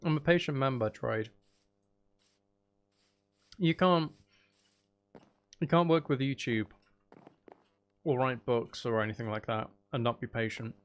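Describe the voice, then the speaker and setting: A man's voice: medium pitch, unhurried, pauses often. A man with a British accent chatting casually and informally as he thinks aloud during a gaming livestream. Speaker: streamer. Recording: clear background noise with clicking.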